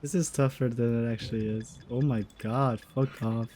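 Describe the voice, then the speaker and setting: A woman's voice: a bass voice, steady tone, normal speed, mellow, a little hiss or hum. A woman giving casual, slangy, offhand reaction commentary as she plays a video game. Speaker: gamer playing through a story quest.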